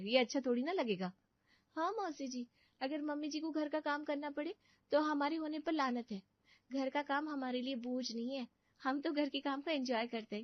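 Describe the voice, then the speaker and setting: A woman's voice: on the high side, no room sound, lo-fi sound, natural voice. A woman voicing a respectful, dutiful young daughter-in-law in a family cartoon, speaking earnestly. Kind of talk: Hindi family cartoon story voice acting.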